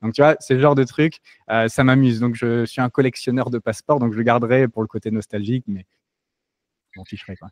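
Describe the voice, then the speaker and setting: A man's voice: medium pitch, fast talker, no room sound, clean studio sound. A French-born man as an interview guest, talking casually with many hesitations and restarts. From France. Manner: relaxed, many fillers and restarts.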